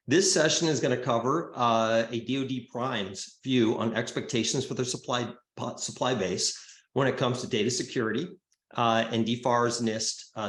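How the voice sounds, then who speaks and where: A man with a mid-range voice introduces a guest and poses questions as a session host. He talks in a friendly, unhurried way, with lots of filler sounds. His voice carries the echo of a roomy space. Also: medium pitch. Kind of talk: moderating an online summit session. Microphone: remote video call.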